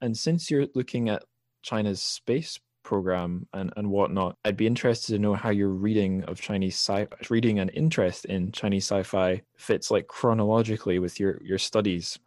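Low-pitched man hosting a podcast. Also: moderate pace, darkish tone, dry recording, studio quality. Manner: informal, digressive, self-deprecating. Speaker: podcast host interviewing a guest. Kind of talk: casual, rambling podcast talk.

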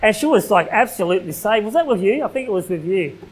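An Australian man in his thirties delivers a sermon from a pulpit in a small church hall. His voice sits high for a man, and his talk mixes plain everyday phrasing with formal scripture reading. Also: lively intonation, quick pace, breathy edge. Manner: preaching at a pulpit, reading King James verses aloud, casual fillers like "like". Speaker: pastor.

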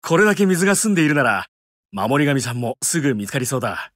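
Lively, friendly hunky adventurer. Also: male voice, medium pitch, fast talker, no echo, clean studio sound. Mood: cheerful, keen. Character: friendly, earnest muscular adult male adventurer. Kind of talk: anime mobile game voice acting.

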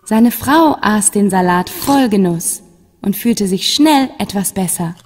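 A woman narrating a scripted children's fairy tale as a storyteller. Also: mid-range voice. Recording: long reverb, music behind.

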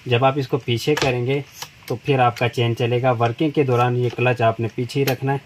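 A middle-aged man, calmly explaining step by step how to fit a chainsaw blade at a tool shop counter. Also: medium pitch. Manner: plain, unhurried explaining while working with his hands. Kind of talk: step-by-step product demonstration. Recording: noisy shop recording.

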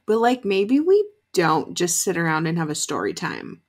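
A woman's voice: on the low side, wildly swooping pitch, moderate pace. A woman in her twenties giving casual, exasperated, sweary reaction commentary while watching a show. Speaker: reaction video host. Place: small bright bedroom, indoors.

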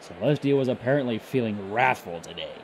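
A man's voice: fairly low pitch, animated, a little fast, slight background noise. A man narrating a scripted story between lines of character dialogue.

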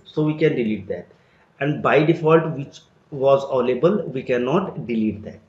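Man explaining a software tutorial. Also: medium pitch. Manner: step-by-step explaining while clicking through an interface. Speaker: tutorial instructor. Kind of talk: screen-recorded software tutorial. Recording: roomy, dull lo-fi sound.

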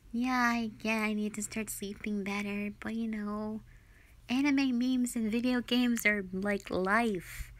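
A young woman playing a sulky, dramatic tsundere gamer girlfriend in an audio roleplay, with a whiny, pouty, drawn-out delivery. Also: mid-range voice, a bit bright. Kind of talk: roleplay audio drama, intimate one-sided dialogue.

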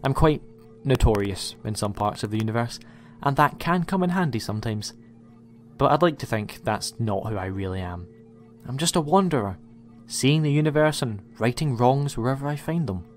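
A man's voice: medium pitch, expressive, moderate pace, long reverb tail, soft background music, natural voice. A young man playing a cheeky, eccentric time-travelling hero in a science fiction audio drama. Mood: earnest. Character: cheeky, eccentric heroic male time traveller, bold wanderer.

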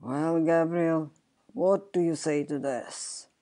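A low, slow-speaking goblin voiced by a woman, stern and taunting, straight out of a storybook. The tone is dark and deliberate, full of unearthly menace. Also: steady tone. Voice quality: deep. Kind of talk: dramatic storybook reading.

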